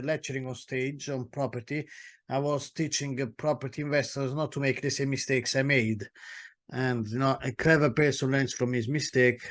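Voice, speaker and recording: A middle-aged man with an Italian accent giving a webinar talk as a property solicitor, speaking with many hesitations and restarts. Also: mid-range voice. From Italy.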